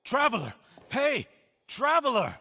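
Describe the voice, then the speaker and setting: A man's voice: very high-pitched, huge pitch swings, measured pace, bright, forceful tone, in a large hall, a little hiss or hum, exaggerated voice. A man voicing a desperate, anxious prisoner in a video game, pleading and stammering. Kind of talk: dramatic video game voice acting.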